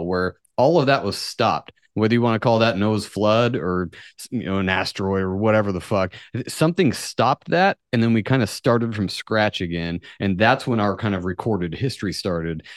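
Low-voiced man in casual podcast talk. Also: dry recording, clean studio sound. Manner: musing, speculative, swears casually. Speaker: podcast host.

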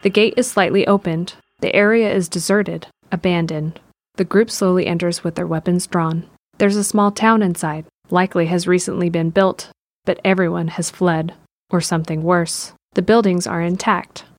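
Low-pitched woman narrating. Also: steady tone, normal speed, no echo. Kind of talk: scripted audio drama narration.

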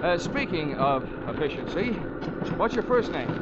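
An actor playing a flippant, deadpan insurance investigator who narrates his own case in a 1940s radio drama. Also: on the high side, normal speed, heavy background noise. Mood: rattled, joking. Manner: wry, flippant, deadpan wisecracking. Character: wisecracking male freelance insurance investigator. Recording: muffled 1940s radio recording, music behind.

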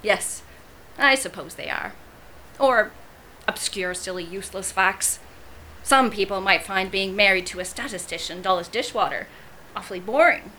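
Actress as arch, bright-voiced betrayed wife. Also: female voice, medium pitch, a little hiss or hum, close to an everyday voice, poor audio. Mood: wry, nostalgic. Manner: arch, deliberate, pointed. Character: pointed, chatty woman, a betrayed wife coolly needling her friend. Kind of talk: audio drama acting.

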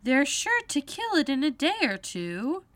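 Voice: high-pitched, huge pitch swings, a little slow, fairly bright tone, no room sound, pitched above the performer's own voice, full character voice. A narrator voicing an earnest, prim and inquisitive young girl in a storybook reading.

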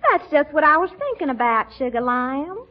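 An actress playing a coy, sugary young Southern belle with a Southern US accent in a 1940s radio comedy. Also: female voice, high-pitched, lively intonation, normal speed. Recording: muffled old radio broadcast.

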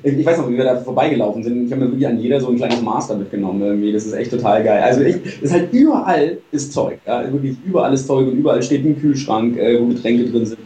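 Rambling fast-talking man, roomy. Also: medium pitch, warm tone, recorded from a distance, highs cut off, slight background noise. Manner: rambling, filler-heavy, admits stuttering. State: tired. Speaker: show host. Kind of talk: casual chat. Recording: roomy, from off mic.

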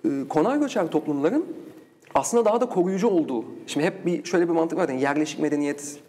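A man's voice: on the high side, animated, fast, darkish tone. A man speaking as an interview guest and researcher, explaining in a discursive academic way with rhetorical questions.

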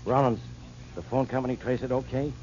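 An actor playing a brisk, commanding male police inspector. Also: mid-range voice, fairly even intonation, darkish tone, slight background noise, lightly acted. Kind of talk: 1940s radio acting. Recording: dull old radio recording.

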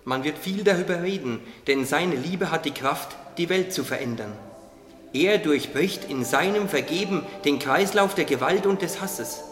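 A man voicing a wise, gently teasing caterpillar in a radio play, explaining things earnestly. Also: on the high side, moderate pace, close to an everyday voice. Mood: earnest, reassuring. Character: wise, teasing caterpillar confidant, calmly preaching hope. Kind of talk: German religious audio drama acting. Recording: echoey reverberant hall, music underneath.

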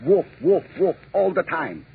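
An actor playing a touchy, excitable violinist who complains and stammers in an old radio drama. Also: male voice, on the high side, unhurried, gravelly, husky, full character voice. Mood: indignant. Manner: indignant complaining, stammers when scared. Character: excitable, fussy male orchestra violinist. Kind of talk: 1930s radio drama acting. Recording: tinny old radio broadcast.

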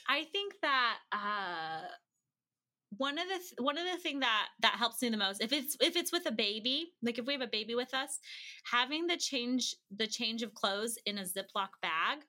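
A woman talks casually as a guest in an interview. Her voice sits a little high and has a bright, keen edge. She speaks in loose, conversational anecdotes, like a parent swapping tips. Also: lively intonation, normal speed. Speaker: interview guest, traveling mother.

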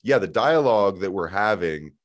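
A man hosting a casual football podcast, joking and animated, with frequent restarts. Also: low voice, moderate pace, no echo, studio quality. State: hoarse, voice shot in intro. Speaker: podcast host.

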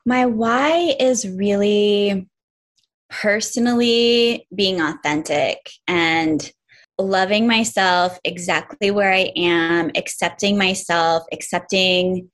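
A woman's voice: mid-range voice, fairly bright tone. A woman in her forties from upstate New York, a yoga and self-love teacher, talking reflectively as an interview guest with many "like" fillers. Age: 40s. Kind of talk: casual interview chat.

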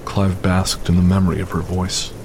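A man's voice: low-pitched, completely flat delivery, normal speed, noisy background. A man giving scripted, dramatic narration for an audio story. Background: music, rain and storm sound effects.

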